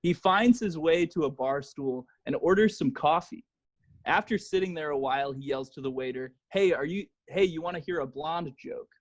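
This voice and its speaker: An American man with a somewhat high voice chats as a comedy podcast host. His delivery is casual and conversational. The recording sounds a little muffled and lo-fi. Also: moderate pace. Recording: dull lo-fi podcast audio.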